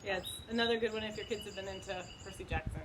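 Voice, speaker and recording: A woman's voice: medium pitch, rapid-fire. A middle-aged woman recommending books casually as a co-host, speaking informally and hesitantly outdoors. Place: outdoors in wind. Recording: wind noise on the microphone.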